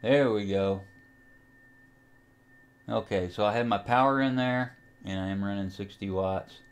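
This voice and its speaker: Low-pitched man in a casual tutorial. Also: measured pace. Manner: hesitant, unscripted, thinking aloud while operating. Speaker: amateur radio enthusiast, tutorial host. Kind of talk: casual screen-recording software tutorial.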